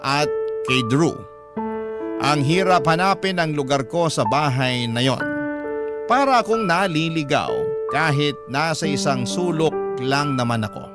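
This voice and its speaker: A man's voice: a little high, lively intonation, a little slow, over loud music. A man with a Filipino accent narrating an emotional first-person story in the style of radio drama.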